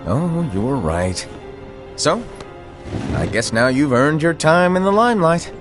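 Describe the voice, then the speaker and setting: An actor playing a pompous, theatrical schemer in a game, with grandiose, mocking delivery. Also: male voice, a little high, sing-song, slow, over music. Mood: mock conceding. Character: pompous, theatrical male schemer boasting of his genius.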